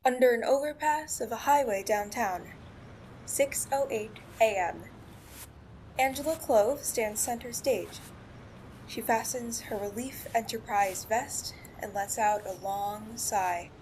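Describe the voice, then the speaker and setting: A woman's voice: on the high side, slow pace, a little mellow, low-quality recording. A woman narrating scripted scene headings and stage directions for a radio play. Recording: faint background noise.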